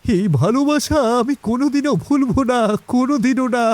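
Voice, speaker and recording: Man as grateful village dad, unusually high voice, earnest, cartoon drama, dry recording. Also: a little fast, darkish tone, dry recording. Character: grateful, protective village father and husband. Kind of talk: earnest animated moral story dialogue.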